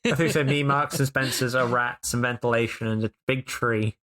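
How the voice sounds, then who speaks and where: A man's voice: medium pitch, fairly even intonation, moderate pace, fairly bright tone. A man playing in a tabletop role-playing game, bantering casually with the group. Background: music under the voice.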